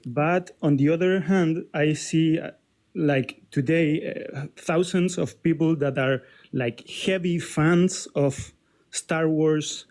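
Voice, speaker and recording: Chilean man, slow halting caller, slightly high voice. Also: darkish tone. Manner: hesitant, many restarts and fillers.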